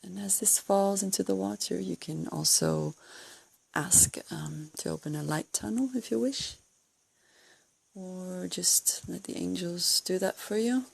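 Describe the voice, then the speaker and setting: A woman leading a guided meditation, giving calm, unscripted instructions in a soothing, breathy way with gentle pauses. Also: on the low side, no room sound. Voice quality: breathy, husky, creaky.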